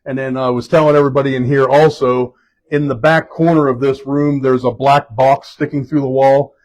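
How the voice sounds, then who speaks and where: A fire chief leading a group around a building indoors, speaking loudly in a casual, halting way with many fillers and restarts. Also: male voice, medium pitch, flat delivery, low-quality recording. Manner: loud voice. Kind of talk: casual spoken tour guiding.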